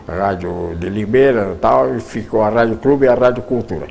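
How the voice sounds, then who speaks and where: A man's voice: fairly low pitch, normal speed, gravelly, a little mellow. An older Brazilian man, a radio broadcaster, answering interview questions at length, often repeating words as he searches for them.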